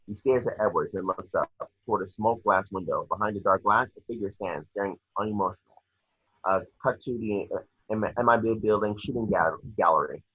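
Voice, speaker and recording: A man reading screenplay action lines aloud in a somewhat halting way, as narrator of an amateur table read. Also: on the low side, a bit bright, slightly rough, highs cut off. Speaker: reader of action descriptions.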